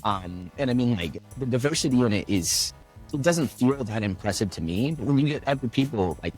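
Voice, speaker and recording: A young man, a voiceover artist, chatting in an interview and telling animated stories, acting out the voices of people he quotes. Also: mid-range voice. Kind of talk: casual interview chat.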